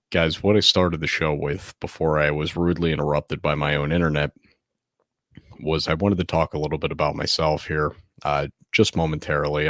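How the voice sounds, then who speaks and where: A man in his thirties hosting a casual late-night solo podcast from home, speaking softly and close to the microphone in a laid-back, candid way. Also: extremely low pitch, no room sound. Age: 30s. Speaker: podcast host.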